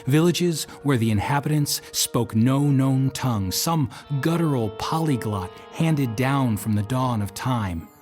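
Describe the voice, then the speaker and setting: A man reading scripted dramatic narration in a measured, solemn storytelling manner. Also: medium pitch, a little mellow.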